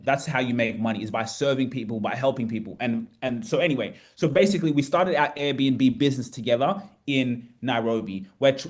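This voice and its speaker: A man's voice: medium pitch, darkish tone. A man from Australia talking loosely and energetically to camera as a business coach, often restarting his sentences. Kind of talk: casual talk to camera.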